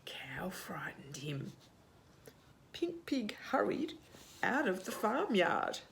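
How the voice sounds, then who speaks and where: A woman reading a picture book aloud to young children as a storytime host, expressive, with hushed asides and questions to the listener. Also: mid-range voice, vocal fry, a little hiss or hum. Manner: animated, expressive, asks questions and pauses for answers.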